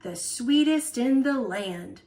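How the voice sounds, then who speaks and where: Woman as a storybook grandpa, old and jolly, chirpy, eager, lively swoops in pitch, unhurried. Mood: warm eagerness. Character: jolly, excitable old grandfather keen on adventure. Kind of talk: picture-book read-aloud.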